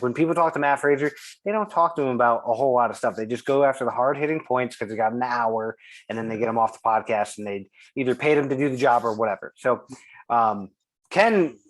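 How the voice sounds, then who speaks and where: A man's voice: medium pitch, rough recording. A man hosting a podcast, with a slight lisp, joking and rambling in casual chat. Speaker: podcast host.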